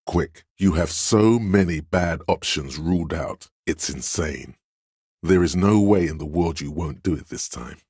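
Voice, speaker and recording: An actor playing a commanding, domineering inner voice of authority in a video game, speaking with a very deep, resonant bass in a measured, imperious manner. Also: male voice, a little mellow, breathy edge, dry recording, studio quality. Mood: urgent, goading. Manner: imperious, measured, scolding and goading. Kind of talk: dramatic game narration, inner-voice lines.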